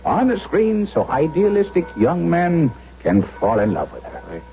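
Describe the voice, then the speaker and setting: An actor playing a kindly, earnest older German doctor in a 1970s radio drama, speaking in a deliberate, fatherly, storytelling manner. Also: fairly high pitch, very animated, vocal fry, heavily acted. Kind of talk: 1970s radio drama acting, first-person narration. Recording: muffled vintage radio broadcast, music underneath.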